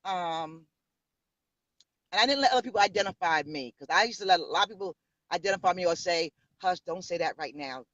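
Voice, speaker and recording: This is a woman in her fifties from New York who speaks with a New York accent. She tells her story in a bold, sassy way, and her voice is bright and forceful. The sound is close and dry, though a little muffled. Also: fairly low pitch, fairly quick, no room sound. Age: 50s. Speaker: pastor and interview guest. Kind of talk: casual interview.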